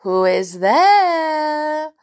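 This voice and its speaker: A woman plays a sly, wicked old male wolf from a storybook, pretending to be a frail granny. The lines are coaxing and stretched very slowly. The pitch swoops theatrically with villainous relish. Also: sing-song, exaggerated voice. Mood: feeble, coaxing. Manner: coaxing, drawn-out, exaggerated storybook villain delivery.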